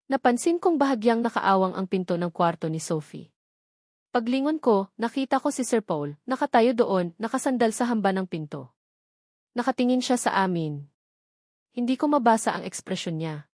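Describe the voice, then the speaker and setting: A woman's voice: fairly high pitch, lively intonation, normal speed, a little mellow, dry recording, studio quality. A woman with a Filipino accent reading a scripted first-person romance story as its storyteller.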